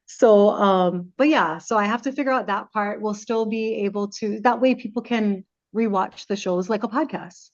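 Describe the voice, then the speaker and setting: A woman radio host talks in a chatty, playful way, walking through each step like she is guiding a listener. Her voice sits in a middle pitch for a woman. The recording is a little dull and lo-fi. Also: moderate pace, hardly any pauses. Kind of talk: casual chat.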